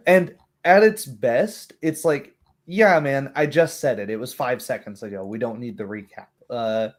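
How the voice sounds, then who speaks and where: Male, mid-pitched, casual conversational game master who acts out quoted lines. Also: measured pace. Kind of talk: casual chat.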